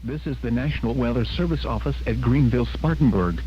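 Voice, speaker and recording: Muffled radio broadcast, male announcer, scripted reading, mid pitch, clear hum and noise. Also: a little fast. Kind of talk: scripted public test announcement.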